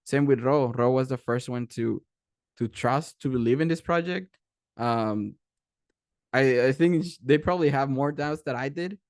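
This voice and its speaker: A man's voice: medium pitch, moderate pace, no echo, studio quality. A young man from Mexico, speaking English with a Mexican Spanish accent as a second language, tells a story in a casual presentation with lots of fillers and restarts. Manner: animated, rambling, many fillers and restarts. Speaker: guest speaker, animation creator.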